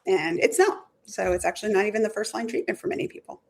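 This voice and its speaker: A middle-aged woman, a sleep medicine expert, answering interview questions in a conversational way, often restarting her sentences, her voice slightly hoarse. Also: medium pitch, sing-song, fast. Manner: talks in restarts and self-corrections. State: voice going hoarse.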